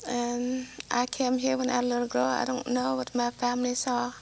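Gravelly, halting woman from Vietnam. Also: fairly high pitch, completely flat delivery, a little mellow, a little hiss or hum. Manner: halting non-native English with broken grammar. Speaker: interview guest. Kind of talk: personal life story in conversation. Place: small TV studio set.

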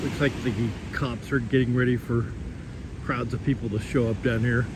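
A man's voice: mid-range voice, steady tone, moderate pace. A man giving casual, sarcastic running commentary as he films outdoors, sounding unimpressed. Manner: wry, sarcastic, unimpressed grumbling. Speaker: vlogger. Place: outdoors on a beach at night. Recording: loud wind and ocean noise on mic. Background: loud wind and surf.

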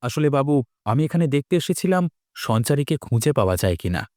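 A man's voice: medium pitch, very animated, a little fast, darkish tone, dry recording, studio quality, close to an everyday voice. A man voicing an anxious, suspicious village man in a cartoon, speaking earnestly and broodingly. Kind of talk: dubbed cartoon dialogue.

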